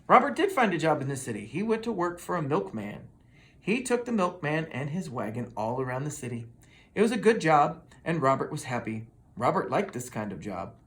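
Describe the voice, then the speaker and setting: A person reading a children's story aloud in an expressive, dramatic manner. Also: mid-range voice, brisk. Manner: expressive, with dramatic sound words. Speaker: storybook reader.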